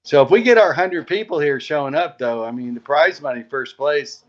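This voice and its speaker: A man's voice: a little high, normal speed, muffled recording. A man chatting casually as an interview guest, explaining plans as an event organizer.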